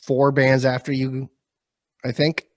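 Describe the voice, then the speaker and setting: A man's voice: medium pitch, completely flat delivery, takes it slowly, no room sound. A man in his thirties hosting a podcast, chatting casually with a wry, joking manner. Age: 30s. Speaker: podcast host. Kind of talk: casual chat.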